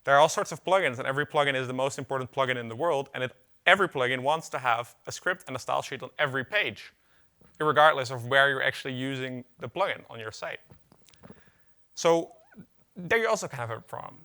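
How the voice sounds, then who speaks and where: Bright-voiced man giving a tech talk. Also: medium pitch, fast. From Netherlands. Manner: casual, filler-heavy, wry asides. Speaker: conference speaker. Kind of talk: technical conference talk. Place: conference hall.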